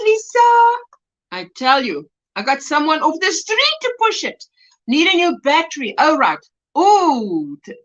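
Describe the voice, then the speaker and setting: Give me a chatty South African woman with an Afrikaans accent, high-pitched, hosting a home livestream. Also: a bit bright, highs cut off. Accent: Afrikaans-accented South African English. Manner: chatty, laughs often, reads viewer comments aloud. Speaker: crochet livestream host. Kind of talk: casual livestream chat. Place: indoors at home by a window.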